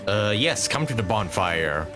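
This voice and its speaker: A man's voice: lowish voice, expressive, a little slow, over music. A man doing casual live commentary as a game streamer, thinking aloud as he plays and swearing casually.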